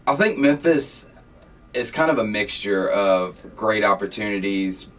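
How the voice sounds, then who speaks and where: A man sits a little away from the microphone, his voice on the low side. He is a local broadcaster answering interview questions without a script. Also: animated, measured pace, fairly bright tone. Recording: somewhat distant from the microphone.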